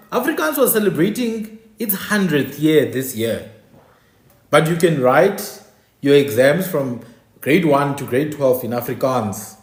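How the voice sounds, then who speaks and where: A man's voice: on the high side, echoey room. A South African man, English not his first language, speaking in a passionate, exasperated monologue as host. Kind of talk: casual monologue.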